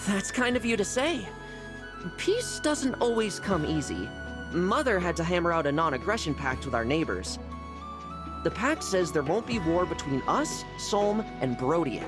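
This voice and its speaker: A bouncy, lively young prince. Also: male voice, high-pitched, normal speed, over music. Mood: earnest. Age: young adult. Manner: chatty, polite, upbeat. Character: friendly, buoyant, kindhearted young prince. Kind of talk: scripted video game voice acting.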